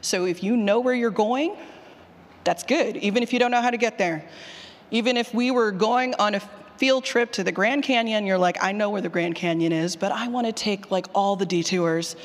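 A woman's voice: medium pitch, normal speed. A woman giving an informal, emphatic talk to an audience, colloquial and a little sweary, often putting questions to the room. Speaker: speaker leading a workshop on audio drama writing. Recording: long hall reverb from a large room.

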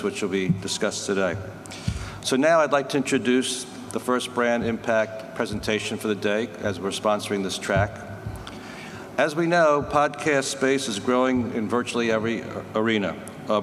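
A man reading a formal, measured, businesslike introduction from a conference stage. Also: mid-range voice. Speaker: sponsor representative introducing speakers. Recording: echoey hall with faint background noise.

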